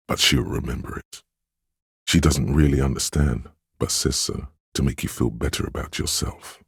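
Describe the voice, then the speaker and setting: A perceptive inner voice of empathy in a narrative game. The voice is profoundly deep and very husky, with a velvety weight, recorded close and dry. It narrates with solemn, intimate compassion in measured phrases. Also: male voice, no room sound, full character voice. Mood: grave, perceptive. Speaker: game voice actor. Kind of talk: scripted second-person game narration.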